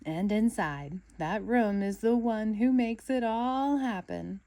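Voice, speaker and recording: An earnest young cartoon sponge, played by a woman reading a storybook, speaking in a raised pitch. The delivery is dramatic and full of wide-eyed reverence, eager and easily excited. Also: slow pace, no echo. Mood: awed, reverent.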